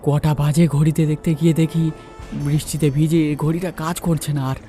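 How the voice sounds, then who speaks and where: A man's voice: on the high side, little pitch movement, moderate pace, mellow, soft background music. A man telling a horror story as a storyteller, in dramatic first-person narration.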